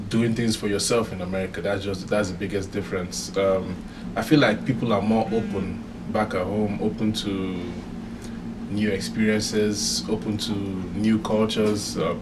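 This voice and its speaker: A young man from Nigeria, a student answering interview questions in a hesitant way with many ums. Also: on the low side. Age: young adult. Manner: hesitant, fills pauses with um. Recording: distant mic with clear background noise.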